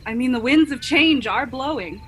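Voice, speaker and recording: A woman's voice: high-pitched, expressive, normal speed. A woman playing a naive, earnest, sheltered fairy-tale maiden in a comic radio play. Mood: curious, earnest.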